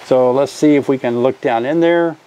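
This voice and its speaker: A man's voice: medium pitch, normal speed, mellow. An older man teaching beekeeping in a casual vlog, chatty and often asking viewers questions, recorded outdoors by a hive. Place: outdoors by a hive, then a covered porch.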